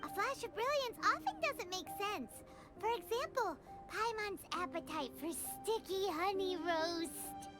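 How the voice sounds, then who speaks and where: A woman voicing a tiny, childlike, cheeky female sprite companion in a video game, with a squeaky, bubbly, blunt delivery. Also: lively intonation, a little slow. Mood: cheerful, encouraging. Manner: bubbly, blunt, talks about herself in third person. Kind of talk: English video game voice acting. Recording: loud music behind the voice. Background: loud game music.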